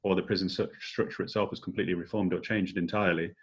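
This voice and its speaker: Male panel questioner, deep pitch, careful and hesitant in phrasing, on somewhat muffled lo-fi audio. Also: fairly even intonation, quick pace, husky. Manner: hesitant, carefully searching for wording. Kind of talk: measured discussion, posing questions. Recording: dull, lo-fi audio.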